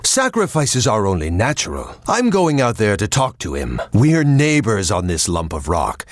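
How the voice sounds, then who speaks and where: A man's voice: on the high side, dry recording, clean studio sound, heavily acted. An actor playing a naive, idealistic male political leader in a video game, earnest and folksy in manner.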